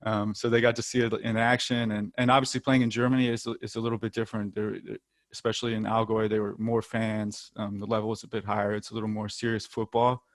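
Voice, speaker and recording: A man's voice: on the low side, flat delivery, fast talker, no echo, clean studio sound. A young American man, a football player, chatting casually in an interview with frequent ums and the odd light laugh. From United States.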